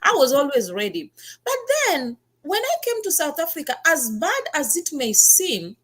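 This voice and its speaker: Kenyan woman, kenyan accent, high voice, swooping lively pitch, earnest talk show host. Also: normal speed. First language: her Kenyan mother tongue. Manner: earnest, emphatic, animated storytelling. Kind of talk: personal storytelling talk.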